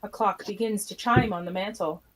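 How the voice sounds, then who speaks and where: A woman's voice: mid-range voice, normal speed, a little hiss or hum, poor audio. A woman reading stage directions as narrator in a plain, matter-of-fact way. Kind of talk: scripted narration of a live script read.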